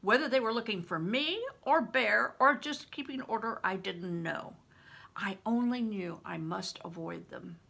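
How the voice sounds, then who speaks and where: An older woman narrates a book with emphatic, deliberate expression. Her voice is bright but husky, with a touch of gravel in it. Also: medium pitch. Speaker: storybook reader, retired reading teacher. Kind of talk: expressive scripted audiobook narration.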